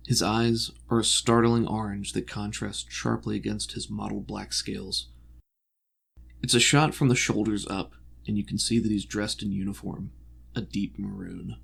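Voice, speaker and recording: A man narrating a tabletop game as game master, in a measured, descriptive storytelling manner. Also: on the low side, fairly even intonation, creaky, a bit bright, breathy edge.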